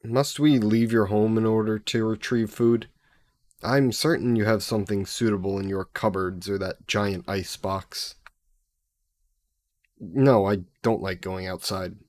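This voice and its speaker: A man's voice: fairly low pitch, fairly even intonation, unhurried, darkish tone, dry recording, natural voice. A man playing a sassy, possessive, centuries-old demon in an audio roleplay, speaking haughtily and sardonically in formal wording. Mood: grumbling, disdainful. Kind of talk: scripted audio roleplay addressed to the listener.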